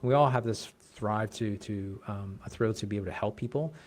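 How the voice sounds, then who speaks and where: A Canadian man in his forties, a guest on a podcast, telling his story in casual chat with many restarts and quick laughs. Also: lowish voice, darkish tone. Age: 40s. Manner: fast, rambling, restarts and laughs. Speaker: podcast guest, chiropractic coach.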